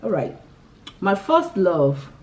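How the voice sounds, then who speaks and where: A woman's voice: mid-range voice, sing-song, takes it slowly, darkish tone, far from the mic. Chatty Nigerian woman, a bit off-mic. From Nigeria, Imo State. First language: Igbo. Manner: chatty, giggly, lots of restarts and "yeah". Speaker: vlogger. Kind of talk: casual chat answering a tag list.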